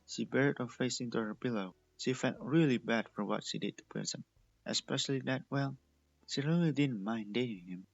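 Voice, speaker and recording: A man narrates a piece of fan fiction as a scripted, expressive read. His pitch is middling, and the recording is close and dry with a dull, lo-fi tone. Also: normal speed, gravelly, no echo.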